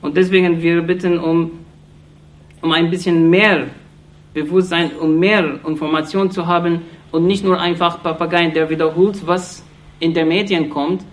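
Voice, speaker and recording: A man from Iraq gives an impassioned political speech in halting German, restarting phrases often and sounding earnest and accusatory. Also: fairly high pitch, flat delivery. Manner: halting non-native German, frequent restarts and fillers. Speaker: speaker addressing an audience.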